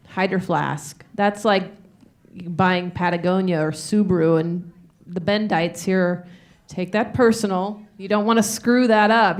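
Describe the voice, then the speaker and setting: A woman with a mid-range voice moderates a live interview. Her questions are informal and wordy. She tends to interrupt herself and rephrase as she builds them. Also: medium pitch.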